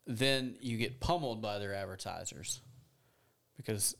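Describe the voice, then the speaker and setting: A man's voice: on the low side, unhurried, vocal fry, a bit bright. A man co-hosting a podcast, chiming in with dry, sarcastic asides. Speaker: podcast co-host. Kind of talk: casual podcast talk.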